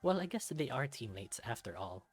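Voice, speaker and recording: A man's voice: medium pitch, very animated, moderate pace. A man reading first-person narration from a visual novel as a scripted read. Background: music.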